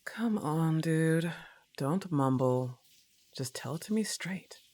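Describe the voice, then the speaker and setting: A woman's voice: sits low, lively intonation, slow pace, darkish tone. A woman playing a laid-back, teasing young goth roommate in an ASMR roleplay, with a casual, coaxing manner and a touch of annoyance. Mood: mildly annoyed, probing.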